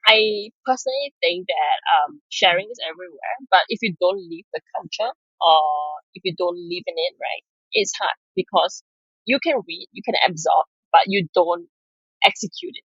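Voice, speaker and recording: Casting: woman from Singapore, husky tone, dry slightly muffled sound, chatty guest who laughs easily. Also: on the low side, animated, normal speed, a bit bright, dry recording. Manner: chatty, laughs often, many fillers. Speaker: podcast guest, product manager. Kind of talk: casual interview chat.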